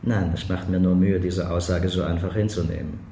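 A sceptical policeman in a radio drama. His low, mellow voice is measured and official, sounding in an echoey room. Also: little pitch movement, gravelly. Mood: doubting. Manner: measured, official, matter-of-fact. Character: sceptical, patient male police interrogator. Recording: echoey room with faint background noise.

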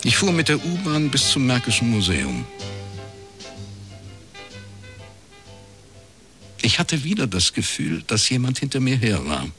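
A man's voice: medium pitch, wildly swooping pitch, a little fast, fairly bright tone, soft background music. An actor playing a sceptical, laconic, middle-aged private detective in a radio crime drama, a man who speaks dryly and tersely. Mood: wry, reflective. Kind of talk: radio crime drama acting and first-person narration.

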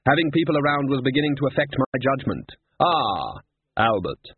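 A man voicing a towering, haughty, immortal Death in a slow, measured, solemn way, on a tinny lo-fi recording. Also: medium pitch, very animated, slightly rough, dry recording, voice pushed up, exaggerated voice.

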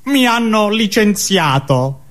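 A man's voice: sits high, very animated, takes it slowly, fairly bright tone, voice pushed up, full character voice. Man acting a sleepy, depressed owl husband. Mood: weary, gloomy. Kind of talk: absurdist stage comedy dialogue.

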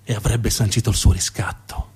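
A man's voice: lowish voice, level tone, moderate pace, darkish tone, slightly rough. A man performing a theatrical narrative monologue, dramatic and emphatic, building on repeated phrases. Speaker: actor and monologue storyteller.

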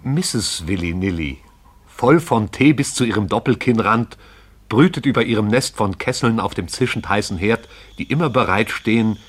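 A man narrating a literary radio play in a measured, descriptive storytelling way. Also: lowish voice, expressive.

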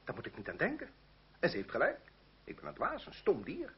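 An actor playing a fervent, lovestruck and haughty nobleman in a Dutch radio play, husky and theatrical. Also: a little high, wildly swooping pitch, a little fast, slight background noise. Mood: pleading, remorseful. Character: impulsive, lovestruck aristocrat, arrogant towards his mistress. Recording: muffled old radio recording.

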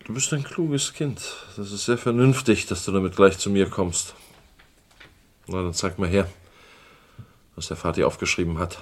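A man playing a smooth-talking, patronizing uncle with menace underneath in a German radio crime drama. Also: low-pitched, moderate pace, long pauses. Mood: coaxing, wary.

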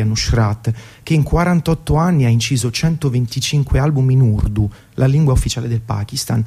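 A man's voice: mid-range voice, mellow. A man, reading a scripted music documentary monologue in a theatrical, storytelling way with colloquial asides. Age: 30s. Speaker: radio presenter. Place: radio broadcast.

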